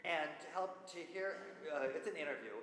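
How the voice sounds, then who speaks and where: A man's voice: fairly high pitch, animated, brisk, a bit bright. A man casually emceeing a live reading event, chatty and rambling as he goes. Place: indoor gallery event space, before an audience. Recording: echoey hall with background noise.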